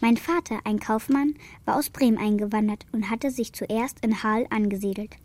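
A woman's voice: fairly high pitch, normal speed, a little mellow, lightly acted. A young woman playing a plucky, plain-spoken girl of the steppe in a German children's radio play, matter-of-fact in manner. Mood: reading aloud.

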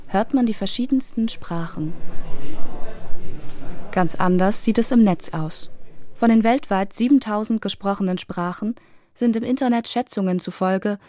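Mellow-voiced woman reading a report. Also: medium pitch, normal speed. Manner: even, clear reading. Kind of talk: scripted radio report narration.

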